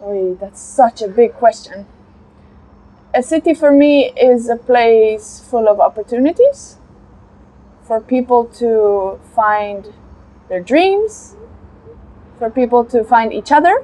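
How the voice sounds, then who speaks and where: A woman's voice: fairly high pitch, animated, slow pace, pauses often, mellow, low-quality recording. A woman who works in urban planning, answering interview questions in an articulate, structured way. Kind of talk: casual street interview. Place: outdoor fair in a park.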